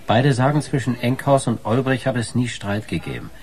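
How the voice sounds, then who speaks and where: An actor playing a pushy, wry newspaper reporter in naturalistic radio crime drama dialogue, probing and casual. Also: male voice, medium pitch, expressive, moderate pace, a little mellow, lightly acted. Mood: wry, needling. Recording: radio play with background ambience.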